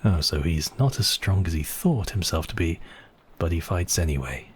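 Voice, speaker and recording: A man playing a seductive, possessive vampire count in an ASMR roleplay, speaking slowly and teasingly in a husky, breathy voice with whispered phrases. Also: deep voice, expressive, a little mellow, a little hiss or hum. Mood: intimate, musing. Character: seductive, possessive male vampire count toying with his captive.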